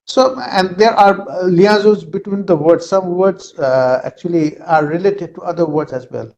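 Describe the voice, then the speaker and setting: A man with an Indian English accent, a native Nepali speaker, giving an online lecture in a halting way with many fillers, over a video call. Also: high-pitched, darkish tone, muffled recording.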